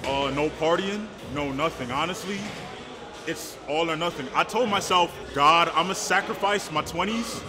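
A twenty-five-year-old man and powerlifter chatting casually in a gym between lifts, loud and excited. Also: a little high, normal speed. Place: large indoor gym. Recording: music playing behind. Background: gym music.

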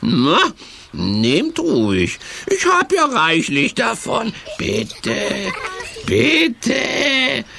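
A scheming storybook villain, speaking very slowly with a gravelly creak. The delivery is wheedling and exaggerated, with a sharp, forceful edge. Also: medium pitch, wildly swooping pitch, slight background noise, heavily acted. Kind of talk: children's audio drama acting.

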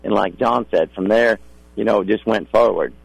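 Gravelly, low-voiced middle-aged man. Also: normal speed, no room sound, rough recording. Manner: polite, folksy. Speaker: rodeo contractor guest.

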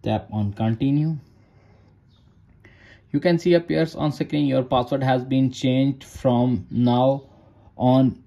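A man's voice: mid-range voice, fairly even intonation, slow pace, vocal fry, pauses often, mellow, dull audio. A man narrating a phone tutorial in short, repeated step-by-step instructions in non-native English. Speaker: tech tutorial host.

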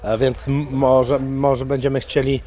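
A man in his forties, an MMA coach, talking casually in an interview at an indoor press wall and breaking into loud sponsor shout-outs. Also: mid-range voice, level tone, a little slow. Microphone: handheld mic held by interviewer.

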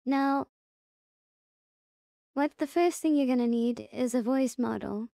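A woman with a fairly high but very soft-edged, mellow voice talks through a software tutorial. She is casual and plain-spoken, taking the listener through it step by step. The sound is dry and close, with little trace of a room. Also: slow, gravelly, dry recording. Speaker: tutorial presenter.

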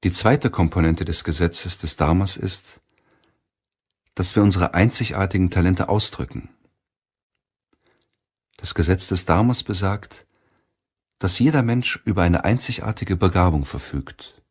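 Deep-voiced male audiobook narrator. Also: measured pace, pauses often, breathy edge.

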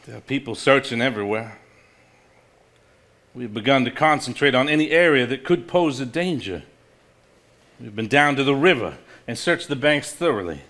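Man acting an expressive stage-drama brother. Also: mid-range voice, normal speed, long pauses. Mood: grave reading. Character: earnest adult brother reading an old journal. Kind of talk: live stage play acting.

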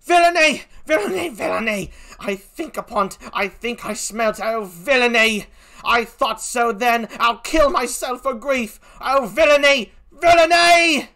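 A performer voicing an outraged, loyal and grieving gentlewoman with a put-on British accent, in theatrical Shakespearean acting. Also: mid-range voice, a little slow, pitched above the performer's own voice. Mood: horrified, grieving. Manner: declamatory, impassioned, theatrical. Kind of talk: classical Shakespearean stage acting.

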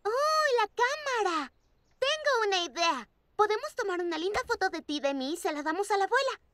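Cartoon bunny big sister: high, animated, girlish, patiently giving instructions. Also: brisk, heavily acted. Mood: eager. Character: bossy, sweet young bunny girl, big sister. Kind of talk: children's cartoon dub. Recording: music bed behind the voice. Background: cartoon background music.

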